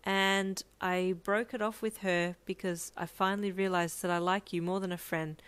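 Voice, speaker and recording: Female reader as earnest young man, audiobook romance. Hesitant, soft-spoken, apologetic, dry recording. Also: medium pitch, steady tone, normal speed, clean tone, dry recording, lightly acted. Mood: pleading, lovestruck.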